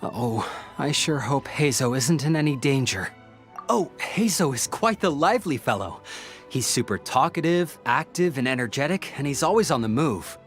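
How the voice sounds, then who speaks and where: An actor playing an earnest, polite young male detective's assistant in a video game, hesitant, apologetic and gently exasperated. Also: medium pitch, animated, normal speed, faint music behind, close to an everyday voice. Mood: admiring, concerned. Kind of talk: video game character dialogue.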